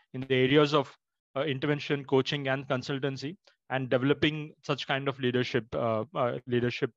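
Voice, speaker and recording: A man giving a formal introduction as a webinar host, speaking in a halting, measured way. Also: mid-range voice, dry recording, highs cut off. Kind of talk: formal introduction, unscripted remarks.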